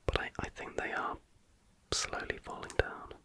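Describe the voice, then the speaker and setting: A British ASMR host whispering casual, chatty reaction commentary in a hushed, informal, rambling way. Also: normal speed.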